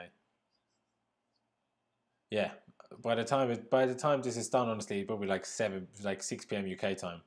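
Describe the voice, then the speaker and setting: A man's voice: lowish voice, a little mellow. A young man from Austria hosting a live football reaction stream, chatting casually, reading viewer comments and laughing often. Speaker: livestream host reacting to a football draw.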